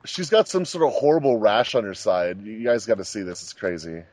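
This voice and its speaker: A man riffs on a bad movie with friends, his voice swinging up and down as he points out every oddity. The sound is dry and close, with no room echo. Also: lowish voice. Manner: animated, joking, quick to point things out. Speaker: co-host. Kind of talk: casual group movie commentary.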